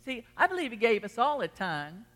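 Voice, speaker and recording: A woman preacher with a Texan accent delivers a sermon in a high, bright voice. She is emphatic, repeating phrases and putting questions to her congregation. The sound carries the long reverb of a large hall. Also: big pitch swings, brisk. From Texas.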